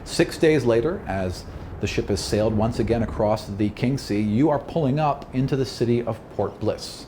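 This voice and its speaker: A man's voice: mid-range voice, expressive, normal speed, mellow, a little hiss or hum. A man working as a game master, narrating a tabletop roleplaying game in a descriptive storytelling way. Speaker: game master and podcast host.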